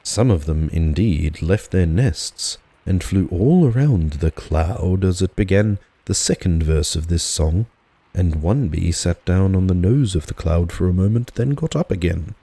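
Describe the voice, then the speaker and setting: Relaxed guy reading a kids storybook, slow n gentle, lower voice, really dry close sound. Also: husky, no room sound. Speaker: audiobook narrator. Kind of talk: relaxed dramatised storybook narration.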